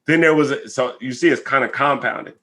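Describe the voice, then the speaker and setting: Male interview guest, American, mid-pitched and bright-edged. Earnest, emphatic, conversational delivery, checking in with the listener. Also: a little fast. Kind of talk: reflective conversational interview answers.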